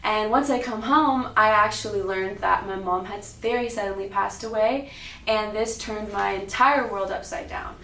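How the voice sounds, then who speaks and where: A woman's voice: medium pitch, moderate pace, vocal fry, fairly bright tone, slight background noise. A young adult American woman telling her personal story as a public talk, recorded in a roomy space at some distance. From Sacramento, California, United States. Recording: roomy, echoing, distant mic.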